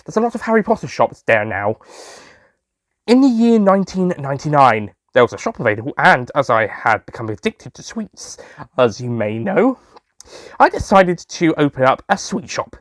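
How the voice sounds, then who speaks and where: Man acting an animated, chatty young Time Lord storyteller. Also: a little high, moderate pace, no room sound, studio quality. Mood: cheerful. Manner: chatty, self-amused asides, giggly. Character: young excitable alien Time Lord, sweet-obsessed shopkeeper, jolly storyteller. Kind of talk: first-person audio story narration in character.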